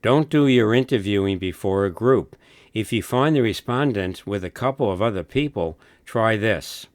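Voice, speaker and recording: A man narrating a scripted instructional film in a measured, instructive manner. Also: fairly low pitch, animated, no room sound, studio quality.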